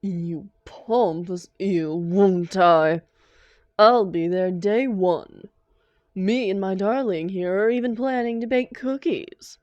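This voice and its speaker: A sardonic female supervillain acted by a woman in a comic audio drama, dramatic and hot-tempered yet devoted to her partner. Her pitch is medium and her pace unhurried. The sound is close and dry. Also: darkish tone, no room sound, dull audio, close to an everyday voice. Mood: defiant, heated. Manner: sarcastic, dramatic, affectionate with partner.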